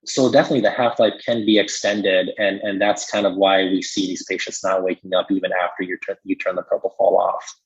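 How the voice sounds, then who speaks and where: A man's voice: sits low, little pitch movement, brisk, hardly any pauses, slightly rough, lo-fi sound. An American man giving a conversational medical explanation in an informal, explanatory manner, like a podcast expert.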